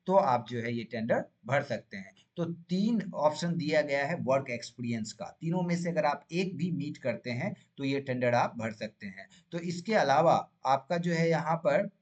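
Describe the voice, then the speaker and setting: A man's voice: on the high side, expressive, moderate pace, few pauses, lo-fi sound. Indian man giving an informal explainer. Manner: frequent check-in tags like "right?" and "okay?"; mixes Hindi with English terms. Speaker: host of a tender explainer channel.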